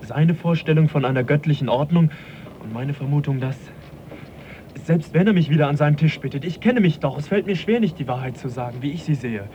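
An actor playing an earnest, courteous young gentleman naturalist in a radio drama, questioning and polite yet persistent. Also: on the high side, lively intonation, fairly quick, lo-fi sound, a little hiss or hum, lightly acted. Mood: troubled, reflective.

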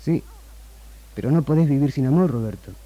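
An actor playing a bossy film director and loyal friend in a 1960s Argentine radio drama. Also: male voice, mid-range voice, lively intonation, a little fast, lo-fi sound, natural voice. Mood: concerned, coaxing. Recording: 1960s radio archive, music behind.

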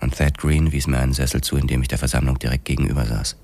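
An actor playing a laconic ex-boxer policeman who narrates a radio drama in measured, matter-of-fact storytelling. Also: extremely low pitch, fairly even intonation, creaky, exaggerated voice. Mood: laconic, tense. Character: laconic young male ex-boxer cop, first-person narrator. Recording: loud music behind the voice.

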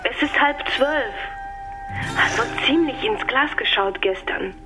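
An actress in a German radio drama playing a brisk, teasing newspaper colleague on a phone call. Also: female voice, high-pitched, expressive. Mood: teasing, impatient. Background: music.

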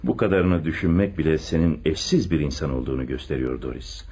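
An actor playing a confident police chief inspector in a radio play, with an expressive, deliberate theatrical delivery. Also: male voice, very deep voice, slightly rough, heavily acted. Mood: tender, amorous. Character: male police chief inspector, secretly in love, confident theorist. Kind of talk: Turkish radio theatre acting.

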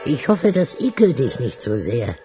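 An actor as a prickly dwarf performer in a radio crime play, swinging animatedly in pitch over music. He is brusque and sarcastic, a man desperate for cash. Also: sing-song, moderate pace, lightly acted. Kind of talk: German radio crime drama acting.

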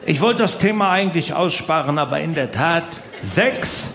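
A man's voice: a little high, expressive, normal speed, gravelly. A middle-aged man doing stand-up comedy in a colloquial, mock-exasperated way, full of rhetorical questions. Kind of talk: stand-up comedy to a live audience. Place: large live venue. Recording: roomy live hall, noisy background.

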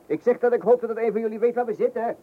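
An actor playing a bumbling, chatty amateur angler in a radio play, rambling, hesitant and repeating himself. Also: male voice, very high voice, fast. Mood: chatty, jovial. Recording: dull, noisy old radio play recording.

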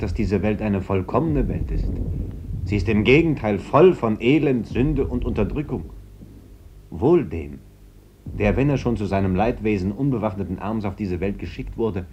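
A man plays an earnest priest in a radio drama. His low voice is formal and measured, preaching yet guarded. Faint noise runs through the old recording. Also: animated, darkish tone, lightly acted. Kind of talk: German radio play acting.

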